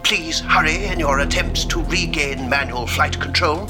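High, haughty supercomputer voiced by a man. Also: moderate pace, music in the background, close to an everyday voice. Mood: condescending. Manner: pedantic, superior. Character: haughty, fussy male supercomputer. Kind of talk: science fiction audio drama acting.

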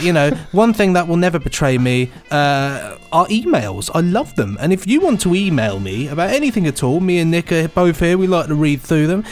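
A British man chats casually as a podcast co-host. His voice sits a little high and moves around a lot, jokey and enthusiastic, over faint music. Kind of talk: casual comic chat.

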